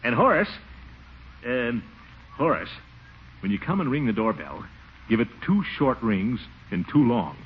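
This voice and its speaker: An actor playing a pompous, easily flustered, suspicious middle-aged city commissioner in a 1940s radio comedy, exclaiming and blustering. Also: male voice, medium pitch, big pitch swings, unhurried, long pauses. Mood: stern, anxious. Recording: muffled, hissy 1940s radio recording.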